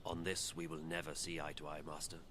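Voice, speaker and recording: An actor playing a devout, disciplined warrior apostle, formal and respectful, in a fantasy game. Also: male voice, rumbling low, level tone, fast talker, pushed and bright, slight background noise. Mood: solemn, earnest. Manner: formal, solemn, deferential.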